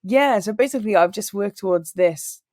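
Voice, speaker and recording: A young woman, a singer and radio presenter, chatting in a relaxed interview and acting out the people in her stories. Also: mid-range voice, moderate pace, no echo, studio quality. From Wales. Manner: animated storyteller, acts out quoted people.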